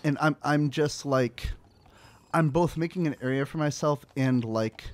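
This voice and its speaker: A man's voice: fairly high pitch, a little slow. A man talking casually as a player in a tabletop role-playing game, thinking aloud as he goes.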